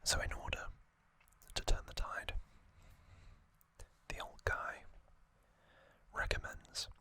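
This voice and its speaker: A man whispering ASMR commentary as the host of a trivia show, speaking in short, measured phrases. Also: sharp tone.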